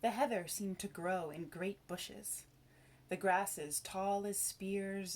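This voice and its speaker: A woman reading a children's story aloud, with expressive, measured narration and dramatic phrasing. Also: mid-range voice. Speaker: storybook reader.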